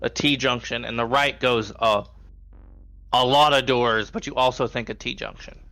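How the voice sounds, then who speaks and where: A man's voice: mid-range voice, steady tone, normal speed, gravelly, pushed and bright, no room sound, soft background music. A man acting as game master, narrating a tabletop roleplaying game in a conversational way.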